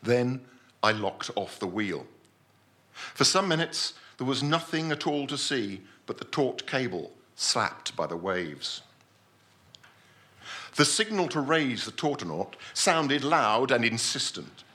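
Guy doing a stuffy scientist telling a grave sea story, very animated pitch, crisp edge to the voice, formal and theatrical. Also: slow, exaggerated voice. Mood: grave, measured. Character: pompous, composed male scientist narrating a sea tragedy. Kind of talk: dramatic live short-story reading.

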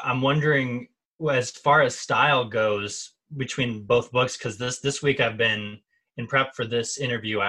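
Bright male co-host, rambling questions. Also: mid-range voice, rough recording. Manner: conversational, rambling questions with restarts. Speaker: podcast co-host. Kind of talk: casual interview questions.

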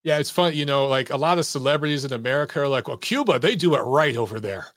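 A man's voice: mid-range voice, lively intonation, quick pace, fairly bright tone, dry recording, studio quality. A man hosting a boxing call-in show, chatting casually and animatedly, swearing and laughing along with callers. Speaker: boxing talk show host. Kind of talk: casual live call-in chat.

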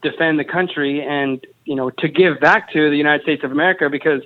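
Fast-talking man on a tinny phone line. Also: on the high side, fairly even intonation. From Mexico. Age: 30s. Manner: enthusiastic, jokey storyteller. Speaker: guest, company founder and Army veteran. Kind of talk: casual interview chat.

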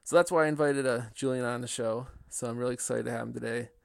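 A man's voice: medium pitch, steady tone, quick pace, gravelly, clear, resonant tone, no room sound, clean studio sound. A man hosting a podcast, introducing his guest in an upbeat, casual way with some fillers. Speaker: podcast host.

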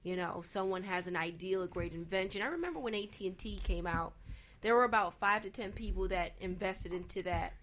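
A woman's voice: medium pitch, fairly quick, a bit bright, slight background noise. A woman speaking conversationally as a guest on a panel about investing, emphatic and repeating phrases for emphasis.

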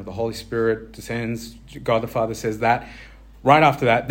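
A man preaching an informal sermon, talking conversationally with many fillers and restarts and asking his listeners questions. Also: medium pitch.

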